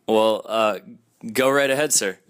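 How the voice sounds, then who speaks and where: A man's voice: on the low side, measured pace, a bit bright, clear, resonant tone. A young man playing a polite young man who stammers when caught off guard, in a student radio play. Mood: flustered. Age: young adult.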